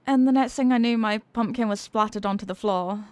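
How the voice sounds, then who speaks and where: An affectionate headless horsewoman girlfriend, played by a woman, with a fairly high voice in a dry, close space. She is centuries old yet sheepish and klutzy, explaining herself in gentle rambles, in the manner of an intimate ASMR roleplay. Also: a little fast, no room sound, natural voice.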